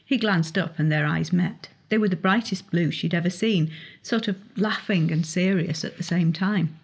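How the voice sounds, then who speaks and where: Husky woman narrating a novel. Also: fairly low pitch, moderate pace. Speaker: author reading own novel excerpt. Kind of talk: dramatic audiobook narration.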